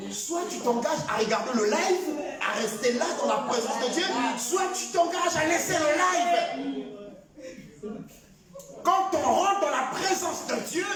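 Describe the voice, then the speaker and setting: A male pastor preaching a sermon, often shouting his points and acting out prayers. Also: very high-pitched. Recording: echoey hall, voice from far off.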